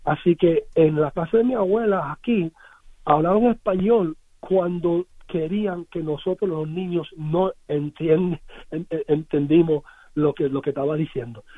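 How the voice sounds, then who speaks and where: A man who is a musician, with an American English accent, answering interview questions in a halting way with many restarts and repeated words. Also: a little high, no room sound. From United States. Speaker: musician guest. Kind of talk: radio interview, casual recollection. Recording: dry, phone-like radio line.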